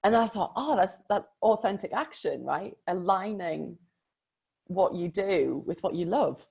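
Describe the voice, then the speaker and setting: A woman, a life coach talking in an expressive, chatty way in a casual conversation. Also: lowish voice, measured pace, creaky, slightly rough. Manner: expressive, chatty, verbal processor. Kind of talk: casual chat.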